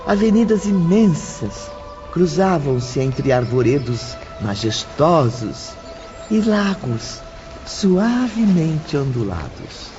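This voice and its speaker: A man reading scripted audiobook narration in a lyrical, deliberate storytelling manner. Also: on the high side, sing-song. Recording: dull lo-fi audio with background music.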